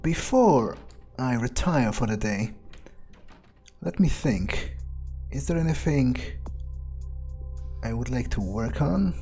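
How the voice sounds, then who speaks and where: A man's voice: medium pitch, animated, takes it slowly, long pauses, mellow, slightly rough, faint music behind. A man narrating a game playthrough in character as a jaded, foul-mouthed vampire, muttering his cynical thoughts aloud. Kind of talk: improvised in-character monologue.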